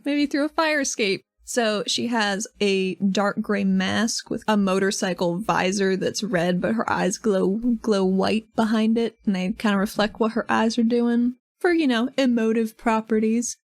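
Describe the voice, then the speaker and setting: A woman's voice: medium pitch, a little slow, few pauses, no room sound, faint music behind. A woman playing a tabletop role-playing game, chatting casually and describing what her character does. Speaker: player.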